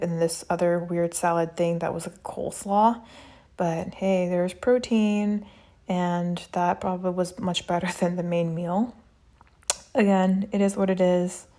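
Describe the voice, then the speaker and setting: A woman's voice: on the low side, dark tone. A woman narrating a travel vlog in a casual, chatty and candid, understated way. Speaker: travel vlogger. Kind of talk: casual vlog voice-over reviewing an experience.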